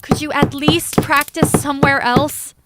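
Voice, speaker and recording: Actress as a high-voiced bossy household woman. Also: level tone, measured pace, loud music behind the voice. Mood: exasperated. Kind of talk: theatrical audio drama acting.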